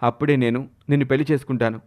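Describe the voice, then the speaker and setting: A man's voice: mid-range voice, fairly even intonation, rapid-fire, a little mellow, close to an everyday voice. A man voicing an anxious, devoted husband in a storybook tale, pleading earnestly.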